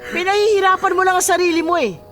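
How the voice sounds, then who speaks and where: A woman playing a cold, bitter, sharp-tongued daughter in a Filipino radio drama, scornful and cutting. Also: very high voice, steady tone, fast, soft background music.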